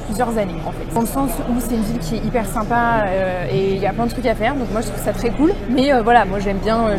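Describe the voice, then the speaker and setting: A young woman vlogging casually as she walks through a city, talking in a chatty way full of fillers and restarts. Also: fairly high pitch. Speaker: travel vlogger. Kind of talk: casual vlog walking tour. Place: outdoors in a city street. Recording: outdoor street noise. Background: street noise and passing cars.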